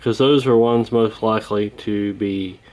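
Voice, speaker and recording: A man giving a casual hands-on repair walkthrough, talking in an unhurried, folksy way while he works. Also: lowish voice, steady tone, darkish tone. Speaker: host of a radio repair channel.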